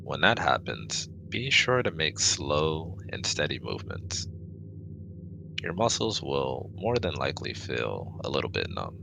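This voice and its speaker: A man's voice: very deep voice, very animated, unhurried, gravelly, background noise, exaggerated voice. A man voicing a formal, cautious but kind male alien with precise, stiff wording in a sci-fi ASMR roleplay. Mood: gentle, formal.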